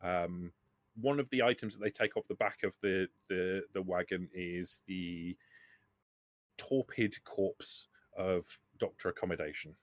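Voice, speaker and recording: A man running a tabletop roleplaying game as game master, narrating off the cuff in a halting, deliberate way. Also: sits low.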